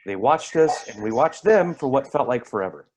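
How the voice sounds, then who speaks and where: An actor playing a rattled, defensive man giving halting, emphatic testimony in a dramatic staged reading. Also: medium pitch, animated, darkish tone, a little hiss or hum, close to an everyday voice, poor audio. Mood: awed recollection. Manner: halting, emphatic, repeats himself. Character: rattled, defensive man testifying about an eerie deer encounter.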